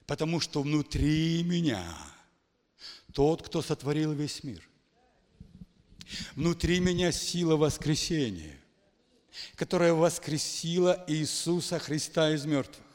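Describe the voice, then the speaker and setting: A man's voice: a little high, animated, takes it slowly, pauses often. Preaching man echoing through a hall. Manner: emphatic, dramatic swings from quiet to shouting. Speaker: pastor. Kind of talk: charismatic sermon. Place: church hall. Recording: long hall reverb.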